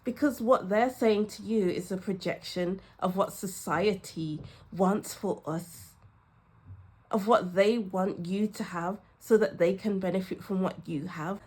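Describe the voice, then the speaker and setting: A woman's voice: medium pitch, darkish tone, husky. A young woman with a cold, chatting casually and laughing often as she gives a tarot reading. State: has a cold, sniffly. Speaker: tarot reader.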